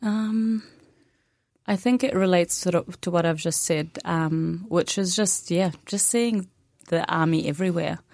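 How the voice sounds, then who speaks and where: Palestinian woman, native arabic speaker, young adult, low voice, soft-spoken, many pauses, dry studio sound. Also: creaky, no echo. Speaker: interview guest. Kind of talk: radio interview conversation.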